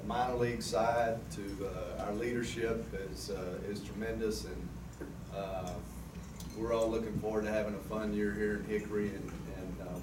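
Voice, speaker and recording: A low, even-toned man in his fifties talks at a club meeting, rambling and easygoing with plenty of fillers. He sounds distant from the mic in an echoey room with steady noise behind him. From Texas. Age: 50s. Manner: relaxed, rambling with many fillers. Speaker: baseball team manager. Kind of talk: speaking at a club luncheon.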